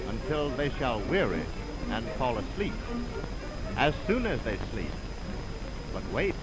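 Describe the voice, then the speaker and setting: A male narrator from 1920s radio tells a story in formal, theatrical phrases. His voice is fairly high and very melodic, with loud music behind it on an old broadcast recording. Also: poor audio.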